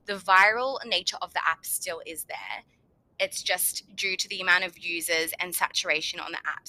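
Solo podcast host, young woman, slightly hoarse, very bright and fairly low-pitched, chatty and upbeat. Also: no echo, low-quality recording. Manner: chatty, upbeat advice-giving. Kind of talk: solo marketing podcast talk.

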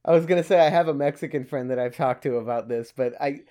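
A man's voice: medium pitch, fast talker, mellow. A man co-hosting a casual podcast chat, rambling with frequent restarts and casual swearing. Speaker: podcast co-host.

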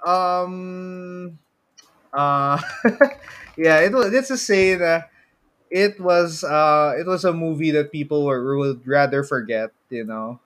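A man's voice: a little high, slow, a bit bright. A man with a Filipino accent co-hosting a podcast in a casual, conversational style. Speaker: podcast co-host. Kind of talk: casual chat about movies.

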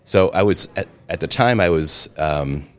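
Very deep-voiced man telling stories. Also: animated, a little slow, fairly bright tone. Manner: chatty, self-deprecating humor, frequent restarts. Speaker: interview guest, restaurant and brewery owner. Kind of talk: casual storytelling interview.

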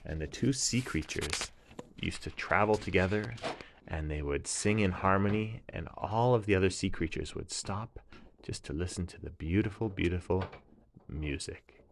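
A man narrating a children's bedtime story in a gentle, drawn-out way with repeated phrases. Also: sits low, a little hiss or hum.

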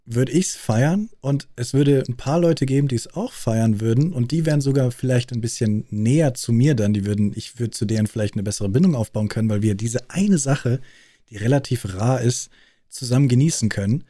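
Male interviewer, casual and inquisitive, warm dark timbre, dead-dry sound. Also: mid-range voice, a little fast, no echo. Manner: curious, chatty questioning. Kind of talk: casual conversation.

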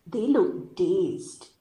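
Woman playing an eager, expressive young apprentice. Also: mid-range voice. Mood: excited. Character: eager, excitable female apprentice on a covert night exercise. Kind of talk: audio drama acting.

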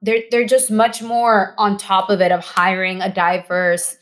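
A woman's voice: medium pitch, flat delivery, a little slow, a bit bright. A woman from Mexico whose first language is Spanish, talking earnestly as a podcast guest and often restarting and repeating phrases for emphasis. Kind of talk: casual podcast conversation.

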